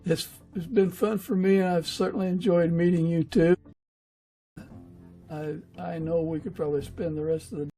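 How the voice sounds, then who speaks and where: A man hosting an interview podcast, speaking in an earnest, unhurried way with a husky, gravelly voice. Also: a little high, fairly even intonation, mellow, soft background music.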